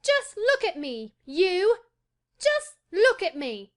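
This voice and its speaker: A woman's voice: high voice, expressive, measured pace, sharp tone, pitched above the performer's own voice, heavily acted. A woman voicing a proud, imperious young boy in a storybook, commanding and indignant. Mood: fierce command.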